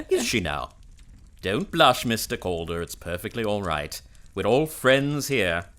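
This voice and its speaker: An actor playing a hearty, courtly family friend in a period radio melodrama, effusive and given to repeating himself. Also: male voice, fairly low pitch, sing-song, slow pace, fairly bright tone, full character voice. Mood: teasing, genial. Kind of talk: period radio drama acting.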